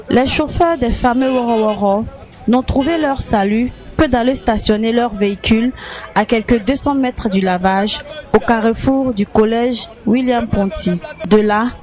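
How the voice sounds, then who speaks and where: A woman's voice: on the high side, a little mellow. A woman reporter reading a scripted news voice-over. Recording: dull lo-fi voice-over.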